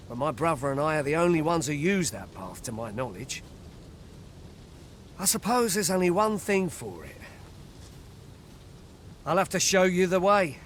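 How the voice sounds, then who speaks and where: Earnest village guide, lightly high voice. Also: male voice, fairly bright tone. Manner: earnest, plain-spoken, archaic rustic phrasing. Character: worried village man, protective older-seeming brother and forest guide. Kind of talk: scripted fantasy game dialogue in archaic English. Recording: game ambience noise behind voice.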